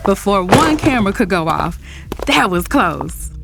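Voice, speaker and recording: A woman playing a carefree young runaway bride, a billionaire's daughter, telling her story in an expressive, conversational audio drama style. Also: medium pitch, unhurried, creaky, loud music behind the voice. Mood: amused narration. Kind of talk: first-person audio drama narration and dialogue.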